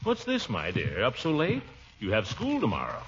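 An actor playing a pompous, doting middle-aged uncle in a blustery, self-satisfied 1940s radio comedy style. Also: male voice, on the low side, huge pitch swings, normal speed, fairly bright tone. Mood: concerned, coaxing. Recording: muffled vintage radio recording.

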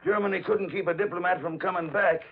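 A gruff police sergeant, pitched a little high. He orders people about in plain folksy speech, in the manner of 1940s radio drama. The sound is dull and aged. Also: fairly even intonation, fast. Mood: matter-of-fact. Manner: bossy, colloquial, folksy. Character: gruff, take-charge Irish-American police sergeant. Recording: dull lo-fi old radio recording.

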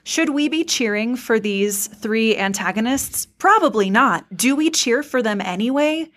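A woman's voice: on the high side, animated, measured pace, a bit bright. A woman hosting a podcast, giving articulate, emphatic opinions in a casual fan discussion. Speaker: podcast host.

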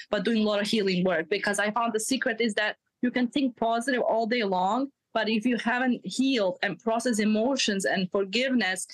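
A woman's voice: on the high side, poor audio. Earnest woman in her thirties, confiding. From Europe. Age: 30s. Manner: earnest and confiding, laughs at herself, non-native grammar. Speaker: guest, mindset coach. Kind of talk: candid storytelling in a podcast interview.

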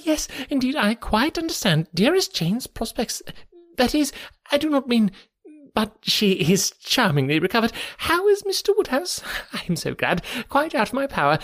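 A man's voice: very high voice, pitched above the performer's own voice, full character voice. A man voicing a fluttery, grateful, scatterbrained spinster in an audiobook of a classic novel, effusive and breathless, rambling in broken phrases.

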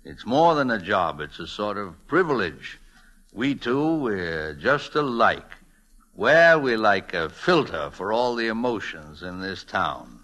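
Kindly older guy in old radio drama, lowish voice that swoops around, fatherly, stammers a bit as he muses. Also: very animated, unhurried. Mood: fatherly, reflective. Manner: hesitant, ruminative, gently stammering. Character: kindly, philosophical older telegraph operator, fatherly. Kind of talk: 1940s radio drama acting. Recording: vintage 1940s radio recording.

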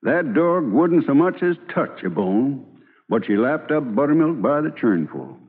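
An actor playing a kindly, humorous, persuasive frontier lawyer in an American radio drama, with a homespun storyteller's American manner of speech. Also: male voice, medium pitch, sing-song, a little slow, breathy edge. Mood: genial, persuasive. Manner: homespun, drawling storyteller with folksy sayings. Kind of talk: old-time American radio drama acting. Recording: muffled old radio recording, long hall reverb.

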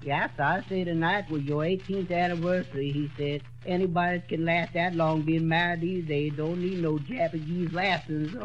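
Drawling handyman played by a man, slightly high, dull old radio sound. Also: steady tone, moderate pace, few pauses, a little hiss or hum, natural voice. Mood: easygoing. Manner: drawling put-on dialect, mangles words. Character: slow easygoing adult male handyman.

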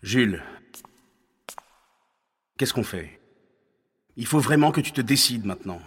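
Husky, insistent Roman counsellor, reverberant. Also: male voice, mid-range voice, lively intonation, measured pace, a bit bright, exaggerated voice. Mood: urging, restrained. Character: insistent, scheming male counsellor to a Roman ruler. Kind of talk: French audio fiction acting. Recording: long hall reverberation.

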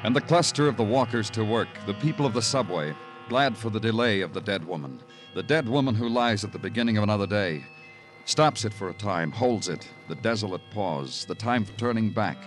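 An American man playing a world-weary police detective, narrating a vintage radio crime drama in a poetic, deliberate, hard-boiled way. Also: lowish voice, lively intonation, natural voice. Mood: brooding, lyrical. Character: brooding, world-weary male New York police detective. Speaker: narrator and lead actor. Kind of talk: 1940s-50s radio crime drama acting. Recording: old radio recording with music behind.

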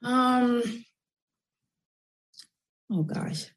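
A woman's voice: a little high, drawn-out words. A woman who writes children's books, a guest on a radio talk show, explaining her work in an earnest, chatty way with a few fillers. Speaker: children's book author, guest. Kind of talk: casual interview.

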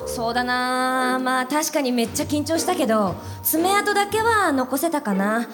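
An actress playing a supportive senior office colleague, encouraging and unhurried, in a live radio drama. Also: female voice, high voice, lively intonation, over music. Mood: encouraging, reflective.

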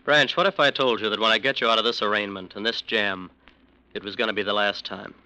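An actor playing a conflicted, frightened defense lawyer in an old radio crime drama, earnest and halting. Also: male voice, lowish voice, fairly even intonation, bright, forceful tone, smooth, poor audio. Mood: uneasy, resolute. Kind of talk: 1940s-50s radio crime drama acting.

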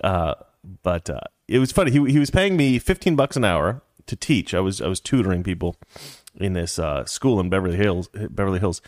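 Rambling, joking low-voiced male host. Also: animated, fairly quick, a little mellow, dry recording, studio quality. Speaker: show host. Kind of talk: casual political commentary.

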